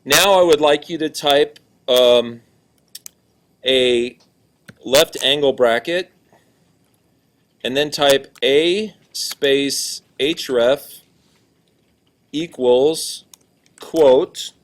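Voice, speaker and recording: A male teacher with a loud, carrying voice at an ordinary pitch leads a class. He talks casually and keeps checking that everyone is following. He is in a classroom and walks around as he talks. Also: drawn-out words, pauses often. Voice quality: loud, projecting voice. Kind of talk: classroom lesson, walking around.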